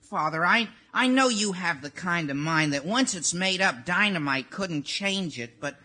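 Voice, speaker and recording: A man playing an earnest, excitable teenage boy with a cracking voice in a 1940s radio comedy. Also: sits high, a little slow, exaggerated voice. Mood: pleading.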